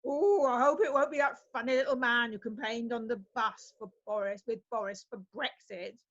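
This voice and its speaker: A woman's voice: fairly high pitch, steady tone, normal speed, dull audio, natural voice. A woman playing a cheeky, gossipy older neighbour in an amateur comedy sketch. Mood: gloomy chatter.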